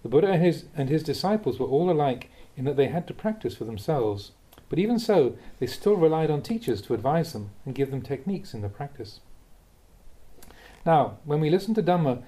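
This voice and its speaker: Expressive, mellow male reader of spiritual teachings. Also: on the high side. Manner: measured, expressive, with rhetorical questions. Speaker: Buddhist monk reading a teacher's talks. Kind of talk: read-aloud Dhamma talk, conversational delivery.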